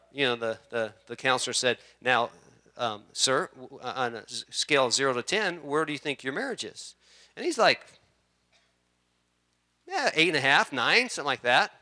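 A man's voice: medium pitch, bright, forceful tone. A man in his fifties preaching a sermon in church, repeating key words for emphasis and building to a fervent delivery. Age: 50s. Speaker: pastor. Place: church sanctuary.

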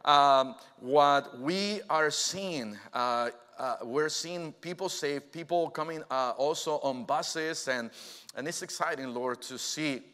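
A man, preaching a sermon in an earnest way, often pausing with "uh". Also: a little high, sharp tone. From Mexico. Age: 40s. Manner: earnest, hesitant with frequent "uh" fillers. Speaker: pastor. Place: church sanctuary. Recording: long reverb from a large church hall.

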